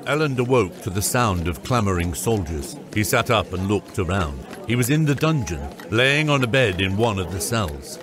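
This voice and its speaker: A man's voice: on the low side, expressive, moderate pace, hardly any pauses. A man reading scripted narration for a fantasy audiobook. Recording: loud music under the voice. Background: music.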